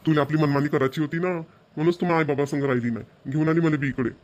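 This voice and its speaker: A harried young cartoon husband, acted by a man, rattles on quickly in a rather high male voice. His Vidarbha Marathi speech is scolding and exasperated. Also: steady tone, darkish tone, lightly acted. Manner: nagging, complaining. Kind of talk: amateur cartoon voice acting.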